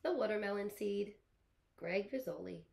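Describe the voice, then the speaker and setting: A woman in her thirties, a kindergarten teacher, explaining things to small children in simple, deliberate phrases. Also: mid-range voice, vocal fry, darkish tone, a little hiss or hum. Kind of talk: explaining to young children before a read-aloud. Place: small living room, on a couch.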